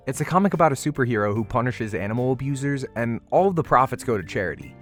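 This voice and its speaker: A man's voice: medium pitch, fairly bright tone. A man narrating a convention vlog in a casual, slangy voice-over with wry, playfully exaggerated commentary. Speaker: vlogger narrating a convention walkthrough.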